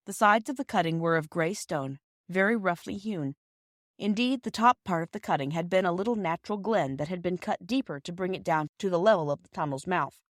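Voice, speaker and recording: A woman narrates a classic children's storybook in a fairly low voice. The recording is dry and close, with very little room sound. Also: no room sound. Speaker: audiobook reader and podcast host.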